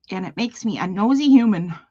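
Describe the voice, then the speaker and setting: A woman's voice: medium pitch, warm tone, husky, no echo, muffled recording. A woman chatting as a guest on a craft livestream, curious and gushing, laughing often. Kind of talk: casual chat.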